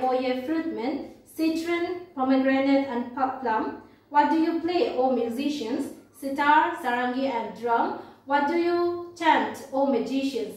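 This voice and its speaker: A young woman with an Indian accent teaching an English lesson in a classroom, explaining in a halting, repetitive way and reading aloud. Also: sits high. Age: young adult. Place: indoor classroom at a blackboard. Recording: very echoey room, distant microphone.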